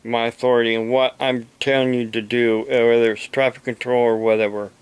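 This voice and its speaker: A man from the United States giving an unscripted explanation to camera, speaking haltingly in short phrases with long pauses between them. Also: mid-range voice, level tone, smooth. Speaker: amateur radio operator and preacher making a video.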